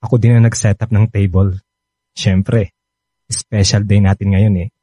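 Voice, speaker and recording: A man's voice: lowish voice, flat delivery, measured pace, dark tone, dry recording. A man playing an attentive, worried young boyfriend in a Filipino radio romance, with a Filipino accent and a coaxing manner. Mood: doting, concerned.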